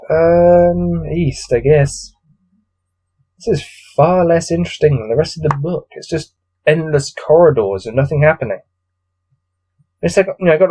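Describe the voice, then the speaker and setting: A man's voice: on the high side, warm tone. A man with a cold coming on reads a gamebook aloud, adding weary, exasperated, off-the-cuff commentary. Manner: weary, grumbling, exasperated asides. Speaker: solo gamebook reader and commentator.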